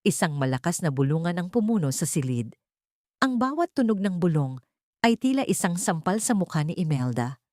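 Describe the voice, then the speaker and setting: A woman with a low voice narrates dramatic fiction. Her delivery is deliberate and theatrical, with melody that swings widely to build suspense, in a very dry, close sound. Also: very animated, darkish tone, no echo. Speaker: narrator of an audio story. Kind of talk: dramatic scripted storytelling narration.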